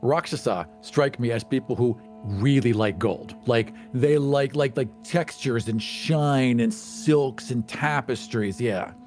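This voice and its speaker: A man's voice: medium pitch, slow, few pauses, a little mellow. Tabletop player, a man with a mid-range voice, playful and wordy, faint music. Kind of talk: casual tabletop play chat.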